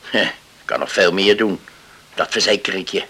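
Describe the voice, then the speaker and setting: An actor in a radio play playing a stern, stubborn middle-aged father with a rough voice and a curt, deliberate, unyielding manner. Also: low voice, fairly even intonation, bright, forceful tone, full character voice. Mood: scornful, threatening. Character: stern, stubborn island father guarding his daughter.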